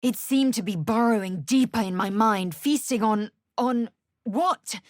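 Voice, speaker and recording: A woman narrating a video game, telling the story in a measured, atmospheric way. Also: fairly high pitch, no room sound, studio quality, lightly acted. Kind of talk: scripted first-person game narration.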